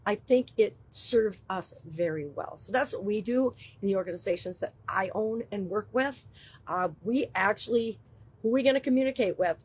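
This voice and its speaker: A middle-aged woman with a hoarse, gravelly voice, casually giving a daily business tip as host, chatty with filler words. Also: mid-range voice, poor audio. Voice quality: husky. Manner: upbeat, chatty, thinks aloud with fillers. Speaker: business coach and show host. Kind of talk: daily business tips monologue.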